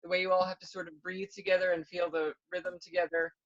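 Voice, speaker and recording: A woman's voice: lowish voice, flat delivery, fast. A woman chatting casually on an online call, enthusiastic and reflective about her work. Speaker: puppeteer. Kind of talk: casual chat. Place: remote virtual call. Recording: dull lo-fi call audio.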